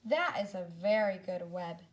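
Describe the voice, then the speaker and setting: A woman's voice: on the low side, expressive, unhurried. A woman voicing a hungry, naive, determined young female spider in a storybook, earnest, emphatic and full of wonder. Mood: impressed, determined.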